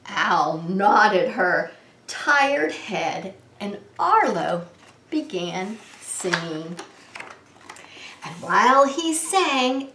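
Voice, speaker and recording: A woman hosting preschool story time, reading a storybook with dramatic, drawn-out emphasis for small children. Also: medium pitch, a bit bright. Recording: roomy, echoing sound.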